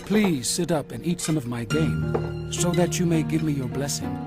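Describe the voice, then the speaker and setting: An actor playing an anxious, earnest younger son and reluctant deceiver in a Bible audio drama. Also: male voice, on the high side, normal speed, lightly acted. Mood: nervous, deceiving. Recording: loud music behind the voice.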